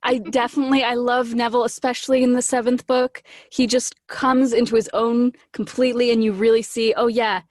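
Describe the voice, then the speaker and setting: A woman chatting casually as an interview guest, animated and gushing, slipping into little acted-out asides. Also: fairly high pitch, fairly quick, hardly any pauses, no echo, studio quality. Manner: animated, gushing, acts out her own quoted lines.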